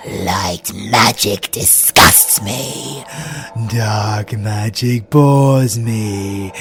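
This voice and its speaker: A man playing a sadistic, hungry vampire villain in an audio roleplay, with theatrical, savouring menace and a gravelly voice. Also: mid-range voice. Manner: slow, savouring, menacing delivery.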